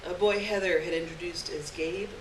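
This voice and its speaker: A woman giving an expressive dramatic reading of a literary short story live on stage, acting out the dialogue. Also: lowish voice, normal speed. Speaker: story reader. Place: live stage event, recorded from a distance. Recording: roomy distant live recording, clear background noise.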